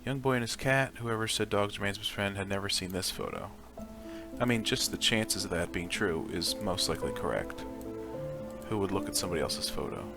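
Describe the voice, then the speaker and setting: Low-voiced man casually narrating his gameplay. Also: expressive, a little fast, gravelly. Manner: offhand, thinking aloud. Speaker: gaming video host. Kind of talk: casual let's play commentary. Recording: music behind the voice.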